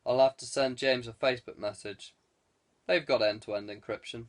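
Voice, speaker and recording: A man's voice: mid-range voice, fairly even intonation, moderate pace, voice pushed down, natural voice. A man voicing a clever, guarded man who speaks with courteous evasiveness in an audiobook. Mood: wary. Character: guarded, clever man fending off police questions. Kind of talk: audiobook character dialogue.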